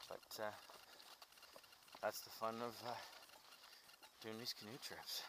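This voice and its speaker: A middle-aged man narrating a canoe trip vlog outdoors, talking in a relaxed, rambling way with many fillers, recorded on an action camera. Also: lowish voice, poor audio. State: walking while carrying a pack. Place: outdoors on a windy lakeshore and forest trail. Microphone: action camera held or worn. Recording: wind noise on action camera.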